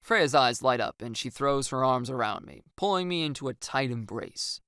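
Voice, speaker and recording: Gravelly, expressive audiobook narrator, close and dry. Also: medium pitch, moderate pace, no room sound. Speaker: story narrator. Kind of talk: first-person audiobook narration with acted dialogue.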